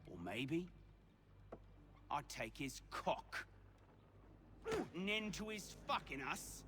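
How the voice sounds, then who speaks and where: An actor playing a sadistic thug torturer, taunting with singsong menace in a game cutscene. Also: male voice, sits high, big pitch swings, slow pace, heavy background noise, full character voice. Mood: gleeful menace. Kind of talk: dramatic game cutscene acting.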